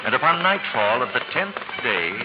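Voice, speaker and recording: Male narrator, stately storyteller for golden-age radio play, dull old recording under swelling loud music. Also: medium pitch, sing-song, moderate pace. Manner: grand, poetic storyteller delivery. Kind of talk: 1940s radio scripted dramatic narration.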